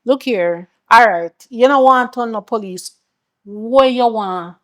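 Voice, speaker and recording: A middle-aged Jamaican mother with a distinctly high voice. She is stern and exasperated, confronting her grown son with sharp rhetorical questions in a naturalistic film-drama style. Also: slow. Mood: exasperated, scolding.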